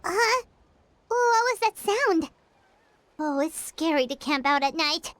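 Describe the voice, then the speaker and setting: A woman voicing a tiny, childlike fairy companion in a video game, squeaky, perky and exclamatory. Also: expressive, slow pace, a bit bright, dry recording, exaggerated voice. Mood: anxious, surprised. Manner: exclamatory, speaks of herself in the third person.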